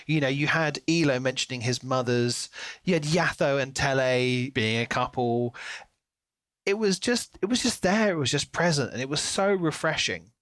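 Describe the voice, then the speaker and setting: Male podcast co-host, mid-pitched, casual and enthusiastic, thinking aloud, very dry recording. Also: normal speed, fairly bright tone, dry recording. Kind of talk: casual book discussion.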